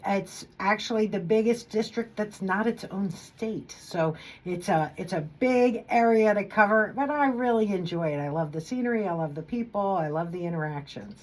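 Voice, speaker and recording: A woman's voice: medium pitch, normal speed, few pauses, poor audio. A woman from Montana running for Congress, speaking enthusiastically and laughing easily in a radio studio interview. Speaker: congressional candidate.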